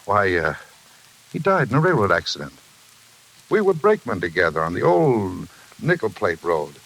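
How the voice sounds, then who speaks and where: A man's voice: on the low side, big pitch swings, normal speed, vocal fry, a bit bright, heavily acted. An actor playing a folksy middle-aged American railroad man who justifies himself talkatively, in old-time radio drama style. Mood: defiant, confessing. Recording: noisy, hissy vintage radio broadcast.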